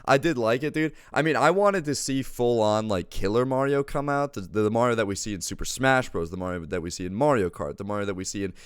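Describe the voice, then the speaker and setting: A man's voice: mid-range voice, animated, fast, hardly any pauses, clear, resonant tone, no room sound, clean studio sound. A man chatting casually as a film reviewer, enthusiastic and peppering his talk with "dude". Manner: enthusiastic, says dude often. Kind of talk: casual chat movie review.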